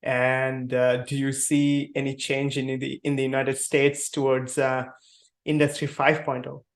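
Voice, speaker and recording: Flat-voiced podcast host, filler-heavy. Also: male voice, mid-range voice. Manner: many "uh" fillers, quick bursts when excited. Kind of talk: casual interview talk.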